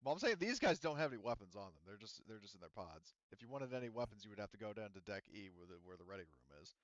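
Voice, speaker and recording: A man's voice: on the low side, very animated, racing, dry recording, dull audio. A man working as game master, casually narrating a tabletop roleplaying game.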